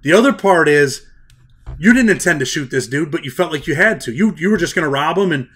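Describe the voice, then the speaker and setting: A man's voice: a little high, lively intonation, a little fast, fairly bright tone, breathy edge. A man in his thirties hosting an interview over a video call, earnestly pressing home lessons for young viewers. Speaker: host. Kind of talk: interview questions and commentary. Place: indoors, plain room, video call.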